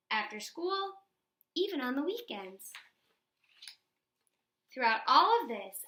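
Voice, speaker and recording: Bright, high-voiced woman reading in echoey room. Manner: animated, dramatic emphasis and pauses. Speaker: elementary teacher reading a picture book aloud. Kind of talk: expressive scripted storybook narration for children. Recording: echoey, roomy sound.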